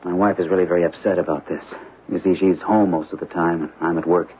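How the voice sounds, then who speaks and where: An actor playing a protective husband and father who tries to stay calm about a ghost, speaking earnestly in a 1970s radio drama. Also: sits low, fast talker, close to an everyday voice. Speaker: homeowner. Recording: narrow-band old radio broadcast with hiss.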